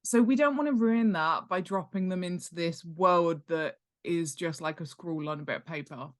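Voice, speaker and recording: Enthusiastic, lower-voiced woman explaining. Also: moderate pace. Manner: enthusiastic, emphatic, laughs easily. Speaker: interview guest, world-building expert and author. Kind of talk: conversational explanation.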